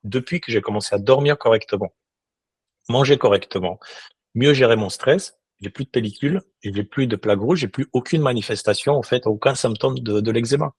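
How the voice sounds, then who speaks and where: Un homme d'une quarantaine d'années, invité d'un podcast, qui parle sérieusement avec beaucoup d'hésitations et de reprises. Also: male voice, medium pitch, fairly quick, darkish tone, slightly rough, no room sound. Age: 40s. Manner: earnest, many restarts and hesitations. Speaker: guest. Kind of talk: casual interview talk.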